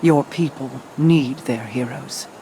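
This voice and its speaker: A woman's voice: extremely low pitch, slow, noisy background. Regal, aloof mystical queen. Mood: grave. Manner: stately, cool, commanding. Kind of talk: dramatic game cutscene speech.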